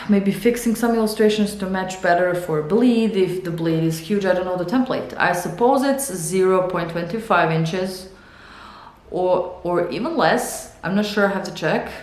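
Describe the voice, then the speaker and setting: A young woman with a Serbian accent, a Serbian native speaker, rambling and thinking out loud in a casual studio vlog, with many fillers and restarts. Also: medium pitch, reverberant. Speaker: illustrator and author. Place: home art studio.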